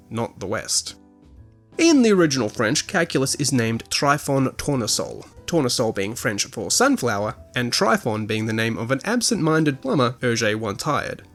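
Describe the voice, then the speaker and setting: A man's voice: medium pitch, fairly bright tone. A man hosting a podcast, reading a scripted review in an articulate, essay-like way with a dry touch. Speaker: podcast host.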